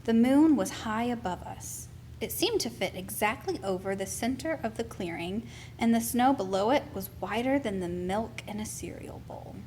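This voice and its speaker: A woman reading a children's picture book aloud as expressive, deliberate storytelling. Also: on the high side, a little hiss or hum.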